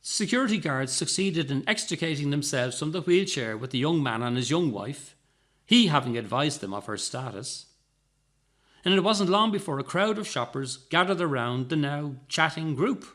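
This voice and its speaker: A man reading comic fiction aloud as expressive scripted narration. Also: fairly high pitch, normal speed. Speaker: story reader.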